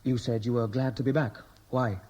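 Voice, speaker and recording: An actor plays a restless man back home from America in an old radio drama. He is dry, laconic and teasing, with a Welsh accent touched by American. His voice is dark, soft-edged and husky. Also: medium pitch, fairly even intonation, normal speed, close to an everyday voice. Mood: dry, probing. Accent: Welsh with an American tinge. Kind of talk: 1960s radio drama acting.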